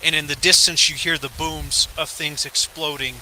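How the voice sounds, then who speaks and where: Higher-voiced man reporting live over loud outdoor noise. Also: fairly even intonation, normal speed, rough recording. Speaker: field reporter. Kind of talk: live breaking news reporting. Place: outdoors at a trailhead in high wind.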